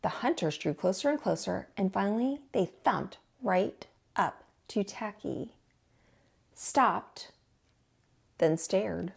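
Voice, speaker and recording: A woman narrating a children's storybook as a storytime teacher, in an expressive read-aloud style. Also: medium pitch, takes it slowly, vocal fry, slightly rough.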